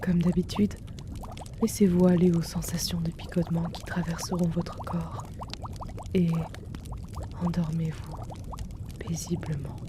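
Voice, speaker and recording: Woman playing a timid gentle herbalist man. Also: lowish voice, flat delivery, background noise, natural voice. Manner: soft-spoken, slow and soothing. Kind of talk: ASMR roleplay. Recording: rain and storm ambience, pouring liquid.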